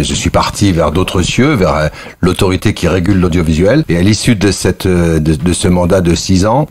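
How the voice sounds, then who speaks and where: A man in his sixties from Algeria, with Kabyle as his first language, giving thoughtful interview answers as a former journalist, often pausing and restarting. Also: low voice, darkish tone. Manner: thoughtful, frequent restarts and hesitations.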